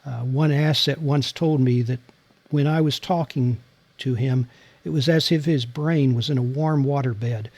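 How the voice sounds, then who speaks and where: A man being interviewed as a guest, speaking in a measured, deliberate and soothing way. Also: medium pitch, steady tone, a little mellow, no echo. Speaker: guest, former CIA officer and novelist. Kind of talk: interview answers.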